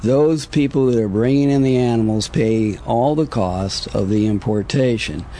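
An American man, a government official giving formal interview answers in measured, deliberate, bureaucratic phrases, on a noisy recording. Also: mid-range voice, warm tone.

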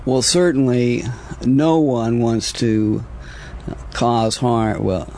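A man speaking as a government official in an interview, answering in a measured, halting, formal way. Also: mid-range voice, steady tone, a little mellow, a little hiss or hum. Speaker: government agriculture inspector, interview guest.

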